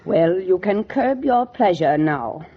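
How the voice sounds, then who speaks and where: A woman's voice: on the low side, expressive, slow. An actress playing a stern, domineering older lady in a 1940s radio drama, scolding in an imperious, theatrical way. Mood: cold, stern. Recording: dull vintage 1940s radio recording.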